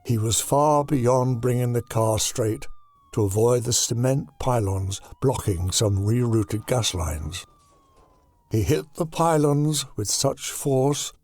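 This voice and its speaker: Slow, dramatic male audiobook narrator. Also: lowish voice, darkish tone, no room sound. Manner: deliberate, dramatic storytelling. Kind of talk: scripted dramatic narration of a horror novel.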